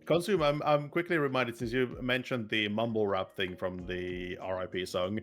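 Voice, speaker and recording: A man's voice: fairly low pitch, fairly even intonation, moderate pace, a bit bright, faint music behind. A man with a Finnish accent that leans toward received pronunciation, chatting casually as a podcast co-host. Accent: Finnish, with an English received-pronunciation lean. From Finland. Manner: repeats words when starting, swears casually. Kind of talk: casual chat.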